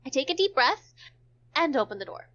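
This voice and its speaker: A woman's voice: sits high, sing-song, a little fast, a bit bright. A woman giving an amateur dramatic reading of a visual novel as narrator, sometimes stumbling over words, on a dull lo-fi recording. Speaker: narrator in a group read-along.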